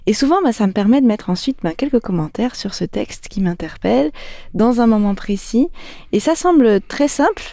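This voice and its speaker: A woman's voice: a little high, expressive, normal speed, creaky, warm tone, no room sound. A woman columnist in a radio studio sharing a personal reflection conversationally, candid and a little self-mocking. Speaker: show columnist.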